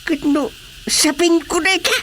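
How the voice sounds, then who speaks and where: A woman's voice: extremely high pitch, steady tone, unhurried, a bit bright, slight background noise. A woman playing a playful, flustered villager in a Filipino radio comedy drama.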